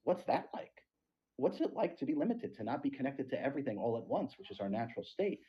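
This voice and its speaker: Husky man giving earnest spiritual talk. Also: mid-range voice, big pitch swings, fast, highs cut off. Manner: earnest, emphatic. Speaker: podcast host.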